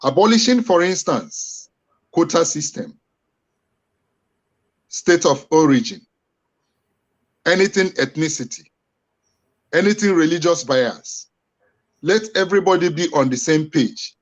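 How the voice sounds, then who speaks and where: A Nigerian man speaks as a guest on a political panel in a fairly high voice. His delivery is impassioned and oratorical, leaning on repetition for emphasis. The audio is somewhat dull and lo-fi. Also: animated, a little slow, long pauses.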